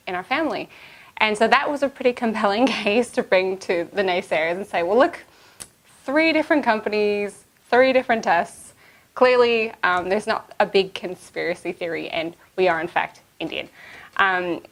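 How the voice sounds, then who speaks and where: A young Canadian woman with a Canadian accent, chatting casually to camera in a rambling way with many fillers. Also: mid-range voice. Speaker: vlogger.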